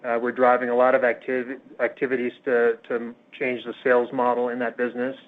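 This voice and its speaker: Guy doing finance answers on an earnings call, pretty monotone, hesitant, thin phone sound. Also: mid-range voice, fairly quick. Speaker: company finance executive. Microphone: phone conference call. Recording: tinny, narrowband conference phone line.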